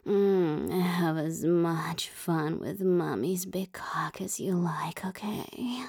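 A woman speaking in a soft, coaxing, teasing whisper for a roleplay audio, with sighs and moans. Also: lowish voice, dry recording, clean studio sound. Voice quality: whispery, breathy.

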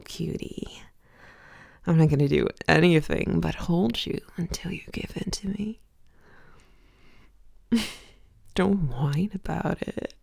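A woman whispering softly and teasingly in a possessive, intimate audio roleplay, laughing often, close to the microphone. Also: deep voice, gravelly, husky, no room sound.

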